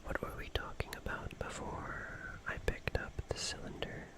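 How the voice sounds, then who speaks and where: A person whispering ASMR musings in a breathy, unvoiced voice, with deliberate short phrases. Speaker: ASMR streamer.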